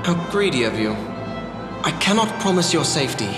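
A man's voice: a little high, big pitch swings, brisk. A man voicing an earnest young prince turned pooka, speaking formally and old-fashioned. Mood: defiant. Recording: echoey with long reverb and game music.

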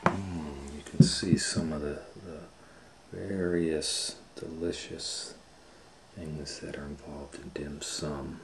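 A middle-aged man with a very low voice reads a picture book to kids, taking his time over every page. He chats along between lines and now and then sinks to a hush. Faint hiss sits under the close camera mic. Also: slow, gravelly. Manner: relaxed, chatty, drops to a near whisper at times. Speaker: children's storytime reader. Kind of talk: casual read-aloud for kids.

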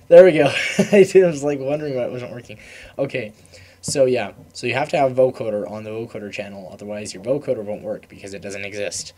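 A young man giving a casual, unscripted software tutorial, rambling and trailing off mid-thought in an informal way. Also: medium pitch, brisk, a little hiss or hum.

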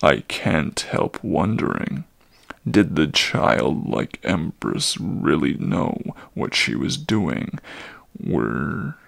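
A man voicing a very old, weary male centaur with a deep, gravelly voice, pleading slowly and heavily in a dramatic storybook reading. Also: big pitch swings, fairly bright tone, no room sound, full character voice. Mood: horrified. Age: older.

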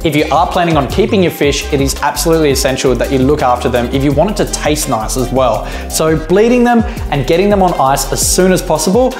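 A man's voice: on the high side, fast talker, hardly any pauses, reverberant, music in the background. An Australian man in his thirties, an upbeat fishing channel host talking to camera, gesturing with his hands as he gives fishing tips in his garage.

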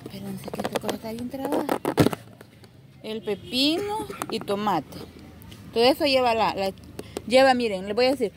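A woman's voice: a little high, lively intonation, moderate pace, long pauses. A woman with a Salvadoran Spanish accent chatting casually as she hosts a street cooking vlog outdoors. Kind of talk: casual chat while showing street food. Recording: loud street traffic noise. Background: passing vehicles and street ambience.

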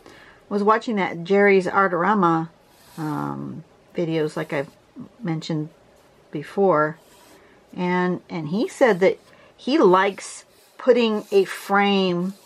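Brief: woman teacher, lowish voice, stuffy, chatty and rambling. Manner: chatty, restarts a lot, clears her throat. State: congested. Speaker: drawing teacher. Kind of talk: casual tutorial narration. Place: home desk, camera over the drawing surface.

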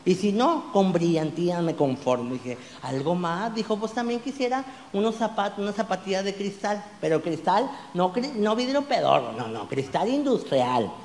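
A man, doing an animated stand-up routine full of crude slang on a small club stage, holding a handheld mic. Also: sits high, normal speed, hardly any pauses, long reverb tail, a little hiss or hum. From Mexico. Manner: animated, gesturing broadly, crude slang, rising to shouts on punchlines. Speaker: stand-up comedian.

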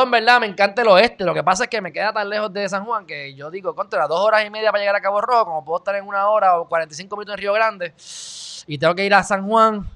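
A man in his thirties with a Puerto Rican accent, hosting a live stream and reading viewer comments close to a studio mic, animated and shifting between loud and quiet. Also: sits high. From Puerto Rico. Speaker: host. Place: small indoor studio. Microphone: studio mic on stand, close.

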